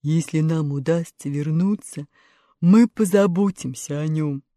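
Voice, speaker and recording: Storybook tin man, woman acting it, earnest and unhurried, warm dark tone, dry close mic. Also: sits low, no echo, pitched below the performer's own voice. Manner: slow, earnest, soft-spoken. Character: gentle tearful male tin woodman.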